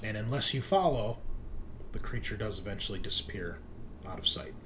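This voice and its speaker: A man with a fairly low voice narrates a tabletop game as its game master. He improvises deliberately, leaving pauses as he goes. There is faint noise behind him. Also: animated, a bit bright.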